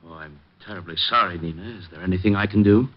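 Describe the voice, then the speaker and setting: A man's voice: sits low, quick pace. A man playing a treacherous, grabby collector with theatrical delivery in an old-time radio detective drama. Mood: feigned concern. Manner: theatrical, feigning sympathy then menacing. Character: grabby treacherous male collector turned gun-toting killer. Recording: muffled vintage radio broadcast.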